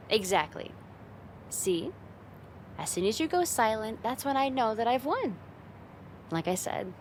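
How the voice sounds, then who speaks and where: A confident young woman played by a female voice actor teases the listener in an ASMR roleplay. Her pitch moves around a lot and her delivery is casual and playful, with a touch of fond exasperation. A faint low rumble sits behind the voice. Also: close to an everyday voice. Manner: playful, teasing, casual, giggly, lightly exasperated. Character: teasing, confident young woman, a friend's older sister.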